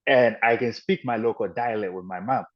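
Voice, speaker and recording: Podcast guest, man, low voice, halting reflective storyteller, muffled lo-fi sound. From Ghana and the United States. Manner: halting and reflective, with frequent restarts and "you know". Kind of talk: personal storytelling in an interview. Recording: dull lo-fi audio.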